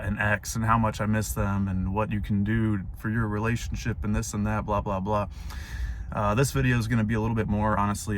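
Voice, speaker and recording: A young man with a hoarse, fading voice, talking casually and reflectively to camera from his parked car with plenty of fillers. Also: on the low side, a little hiss or hum. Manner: rambling, reflective, many fillers. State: hoarse, voice going out. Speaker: vlogger.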